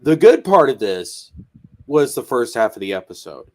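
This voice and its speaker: A man hosting a casual anime review, congested from a cold, animated and saying "bro" a lot. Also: mid-range voice, normal speed. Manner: animated, stretches words for emphasis, says "bro". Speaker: YouTube host.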